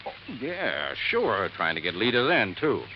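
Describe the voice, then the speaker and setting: An actor playing a shifty, defensive suspect in a 1950s radio drama, evasive and stammering. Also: male voice, on the low side, sing-song, unhurried, dull audio. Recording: noisy old radio broadcast.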